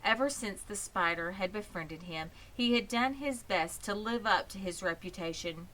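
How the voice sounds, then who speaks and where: A woman narrating a children's story in an expressive, measured way. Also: mid-range voice, slight background noise, low-quality recording. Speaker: audiobook reader.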